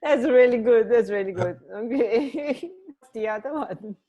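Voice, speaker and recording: A woman's voice: on the high side, measured pace, lo-fi sound. A woman co-hosting a radio show, chatting casually and musing in short, reactive remarks. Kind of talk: casual chat, trading fun facts.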